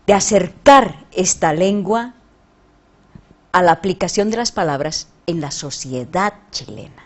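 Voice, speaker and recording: A woman journalist hosting an interview, introducing her guest and asking measured questions. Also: on the low side, a little mellow. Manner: measured, frequent short acknowledgments. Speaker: journalist and show host. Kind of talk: interview questions and back-channel replies.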